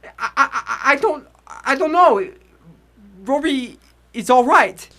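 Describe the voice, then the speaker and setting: Frail, halting old man. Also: extremely high pitch, animated, pushed and bright, heavily acted. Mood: weak, bewildered. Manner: halting, stammering, broken phrasing. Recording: noisy old 1940s radio recording.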